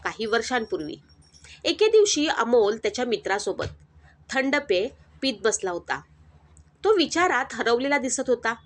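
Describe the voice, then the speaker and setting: A woman reads out a family moral story in a scripted, dramatic storytelling voice. Her pitch sits fairly high, and her delivery stays steady and measured.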